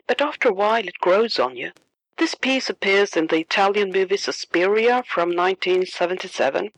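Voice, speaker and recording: A woman's voice: lowish voice, dry recording, poor audio. Woman with a Swedish accent, dry sound. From Sweden. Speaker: film blogger guest. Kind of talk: scripted recorded segment.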